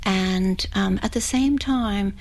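A woman's voice: medium pitch, takes it slowly. Canadian woman, thirties, mixed accent, radio guest: thoughtful, friendly, fillers and restarts. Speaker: writer being interviewed. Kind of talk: radio interview. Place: radio studio.

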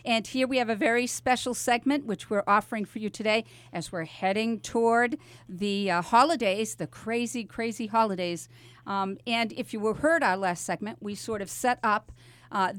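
A woman's voice: medium pitch, normal speed, no room sound. An older American woman hosting a casual radio talk show, chatty and conversational, speaking directly to her listeners. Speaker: radio host. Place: radio studio.

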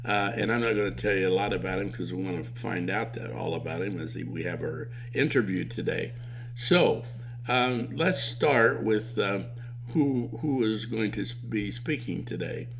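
Male podcast host, gravelly, hesitant with frequent fillers. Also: fairly low pitch, lively intonation, fairly bright tone, slight background noise. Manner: halting, many fillers and restarts. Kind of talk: interview podcast.

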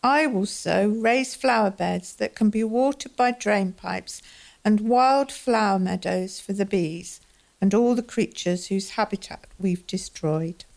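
A woman's voice: medium pitch, unhurried, creaky, a little mellow. A woman playing a wistful townswoman in a community radio play, reciting scripted lines. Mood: regretful. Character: wistful townswoman imagining a drowned town.

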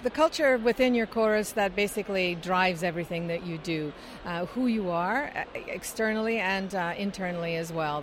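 A woman's voice: mid-range voice, slight background noise. A middle-aged woman from Scotland, giving explanatory interview answers with frequent fillers. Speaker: interviewee, chorus singer.

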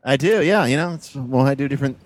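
A comedian talking while he drives, in a dry, deliberate manner with frequent pauses. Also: medium pitch. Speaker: comedian guest. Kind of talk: casual chat. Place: driving a car.

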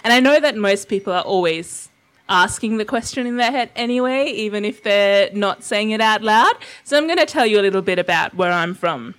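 A woman's voice: medium pitch, lively intonation, fairly quick, fairly bright tone. A young woman from Sri Lanka telling a personal story to an audience in a reflective, conversational way, with frequent fillers and small laughs. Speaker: invited speaker.

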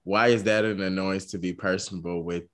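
Low monotone man chatting casually. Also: fairly quick. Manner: speaks monotone, many "like" fillers. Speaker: podcast co-host. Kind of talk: casual chat.

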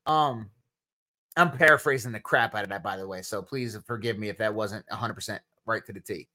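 A man's voice: on the low side, fairly quick, pushed and bright. Casual New Jersey man answering chat questions. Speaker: podcast host.

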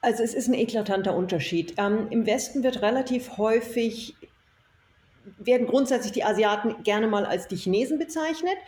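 A woman's voice: fairly high pitch, normal speed, a little mellow. An enthusiastic, emphatic woman telling her experiences conversationally as an interview guest. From Germany. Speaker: interview guest, self-employed former marketing executive. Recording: echoey room, faint noise.

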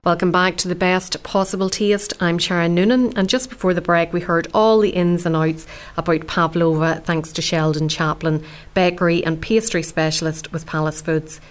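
An Irish woman hosting a radio food show, chatting with guests and reading links. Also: low voice.

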